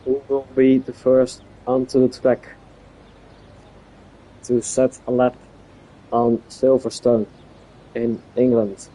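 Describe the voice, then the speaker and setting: A Dutch-speaking man commentating a live-streamed online race league in English, halting and searching for words with many fillers. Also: medium pitch, level tone, mellow, low-quality recording. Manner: calls himself a bit boring-voiced.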